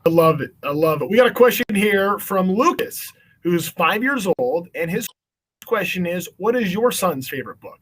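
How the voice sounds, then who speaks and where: A man with a notably high-pitched voice hosts a casual question-and-answer session. He is encouraging and affirming, speaking informally as an interviewer. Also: animated, moderate pace, fairly bright tone. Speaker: event host, interviewer. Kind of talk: casual upbeat hosting, reading audience questions.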